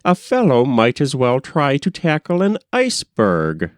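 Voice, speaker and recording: Casting: blunt, casual brother acted by a male reader, colloquial, lively intonation, storybook. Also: mid-range voice, a little slow, no room sound, clean studio sound, voice pushed up. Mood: dismissive. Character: blunt, casual brother sizing up his sister.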